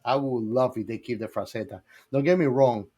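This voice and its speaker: A man's voice: mid-range voice, a little fast, warm tone. A man co-hosting a podcast, chatting casually in an enthusiastic, emphatic and playfully argumentative way. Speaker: podcast co-host. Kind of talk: casual fan chat.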